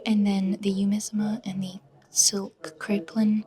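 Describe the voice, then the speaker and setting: A woman narrating a vlog in an excited, gushing and exclamatory way. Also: medium pitch, a little slow. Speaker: vlogger and seamstress.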